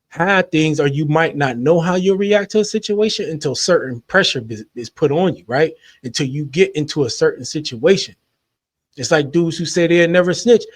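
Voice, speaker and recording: A man hosting a commentary show, giving his measured, skeptical take and often trailing off quietly. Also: mid-range voice, no echo, clean studio sound. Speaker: commentary host. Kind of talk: opinion commentary.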